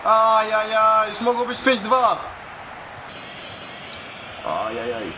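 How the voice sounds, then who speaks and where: A man doing excited live gameplay commentary, full of exclamations and repeated cries. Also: sits high, unhurried, slight background noise. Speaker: gaming YouTuber.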